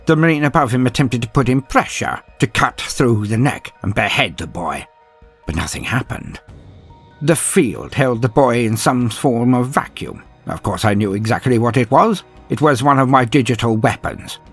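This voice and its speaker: A man's voice: medium pitch, animated, slightly rough, soft background music. A man playing a grim, proud, dryly sardonic inquisitor, narrating a battle in a theatrical, brooding way as a first-person audio drama. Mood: tense, measured. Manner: theatrical, brooding, with weighty pauses.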